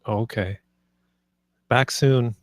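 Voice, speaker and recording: A man's voice: medium pitch, fairly even intonation, drawn-out words, no room sound, studio quality. A man playing a married man, easily flustered, in a screenplay table read. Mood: nervous, flustered. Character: flustered, intimidated married man being seduced.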